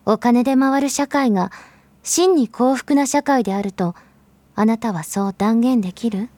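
A woman playing a mysterious, pensive narrator in a Japanese audio drama monologue, addressing the listener in an earnest, intimate, measured way. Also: a little high, warm tone, no echo, lightly acted. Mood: reflective, questioning.

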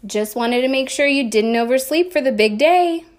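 A woman voicing an affectionate, gently teasing mother in a storybook reading, with emphatic, drawn-out phrasing. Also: high-pitched, animated.

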